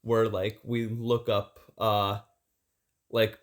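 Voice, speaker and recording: A man's voice: fairly low pitch, level tone, drawn-out words. A man co-hosting a casual podcast, bantering and teasing in a jokey manner through modest podcast microphones. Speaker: podcast co-host.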